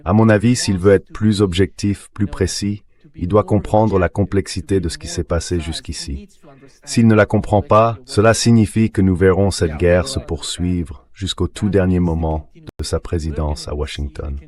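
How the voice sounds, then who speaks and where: A man reading a translated voice-over of political analysis in a measured, explanatory way. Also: sits low, fairly even intonation, darkish tone, lightly acted.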